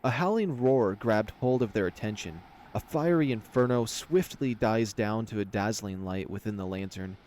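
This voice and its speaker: A man's voice: on the low side, moderate pace, hardly any pauses, darkish tone, slight background noise. A man narrating a visual novel aloud as a let's player, switching between story reading and casual commentary. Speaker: video game let's player.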